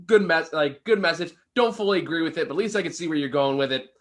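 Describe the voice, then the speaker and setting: A man's voice: fairly high pitch, animated, fast talker. A man casually discussing a film with a co-host as a reviewer, opinionated and swearing freely.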